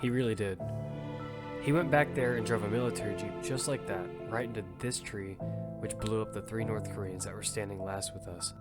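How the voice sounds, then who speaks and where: A man's voice: lowish voice, brisk, close to an everyday voice. A man playing a battle-hardened survivor who narrates in grim first person and leads his team. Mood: steady. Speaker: narrator and lead character. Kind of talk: audio fiction narration. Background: music.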